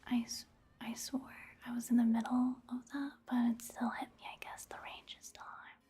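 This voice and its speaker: Whispering woman doing soft ASMR game commentary. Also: on the high side, little pitch movement. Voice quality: breathy, whispery. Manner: hushed, casual, unhurried musing. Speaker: ASMR creator commenting on gameplay.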